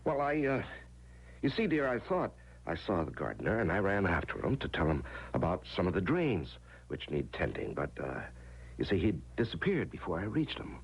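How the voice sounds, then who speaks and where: A man's voice: sits low, huge pitch swings, normal speed, slightly rough, exaggerated voice. An actor playing an evasive, harried husband in an old-time radio drama, hesitant and placating as he talks. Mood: hesitant, cagey. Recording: noisy vintage radio recording with hum.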